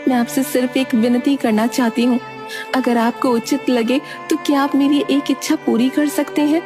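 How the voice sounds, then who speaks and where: Lady doing a sweet young serpent princess, high polite earnest voice, fairy tale with music behind. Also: steady tone, moderate pace, lightly acted. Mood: pleading. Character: gentle, trusting young serpent princess with golden hair.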